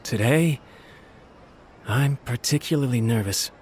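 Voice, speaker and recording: A man's voice: mid-range voice, a little slow, a little mellow, a little hiss or hum, lightly acted. A man voicing an anxious, humble young servant in a video game, deferential, hesitant and prone to stammering. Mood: worried, apologetic. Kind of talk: video game character acting. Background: game music.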